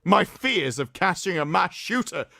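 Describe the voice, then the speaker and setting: A man hosting a livestream, reading aloud in a mocking, theatrical way with sarcastic asides and drawn-out emphasis. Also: high-pitched, expressive, gravelly, bright, forceful tone, no echo, clean studio sound. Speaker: livestream host. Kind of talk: mocking dramatic reading of an article with commentary.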